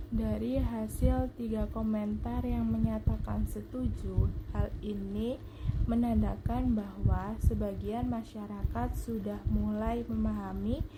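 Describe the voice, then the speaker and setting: A woman's voice: mid-range voice, background noise. A young woman gives a formal, careful scripted presentation as a student. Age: young adult.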